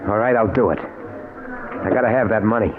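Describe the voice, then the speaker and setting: A man's voice: on the low side, moderate pace, lightly acted. An actor playing an honest, anxious man, desperate for money and hesitant about crime, in an old-time radio drama. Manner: hesitant, uneasy. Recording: noisy, dull vintage radio recording.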